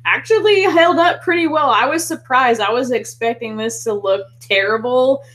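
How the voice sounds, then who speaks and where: A woman's voice: fairly high pitch, normal speed, fairly bright tone. A woman co-hosting a casual film discussion, talking in an enthusiastic, chatty, informal way. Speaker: podcast co-host.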